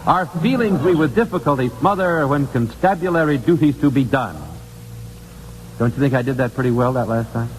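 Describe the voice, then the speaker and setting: A man's voice: mid-range voice, fairly quick. A man hosting a 1950s live radio quiz, chatty yet formal and courteous in his delivery. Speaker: radio quiz show host. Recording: old radio broadcast, long reverb, faint noise.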